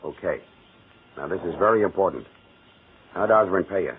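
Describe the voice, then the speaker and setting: Low-voiced gruff radio detective. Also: male voice, expressive, normal speed. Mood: wry, probing. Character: gruff, wry police detective questioning a suspect. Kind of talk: 1940s radio crime drama acting. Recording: tinny, faintly noisy old radio recording.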